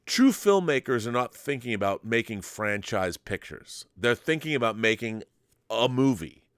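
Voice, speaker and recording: A man's voice: medium pitch, sing-song, normal speed, clear, resonant tone, no echo, studio quality. A man hosting a fan show, chatting casually and giving opinions in an emphatic, rambling, sweary way. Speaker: host of a film fan live show. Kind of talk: casual chat and opinionated commentary.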